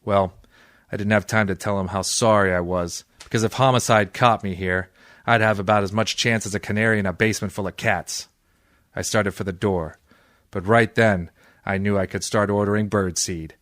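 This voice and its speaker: An actor playing a tough, cynical, wisecracking private detective in old-time radio style, deadpan and sardonic. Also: male voice, on the low side, moderate pace, dry recording, clean studio sound, close to an everyday voice. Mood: wry, deadpan. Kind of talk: old-time radio detective acting with first-person narration.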